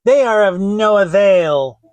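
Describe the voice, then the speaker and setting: Wicked old storybook witch, played by a man: high, slow, scornful and impatient orders. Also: expressive, dry recording. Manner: commanding, scornful. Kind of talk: dramatic fairy tale reading.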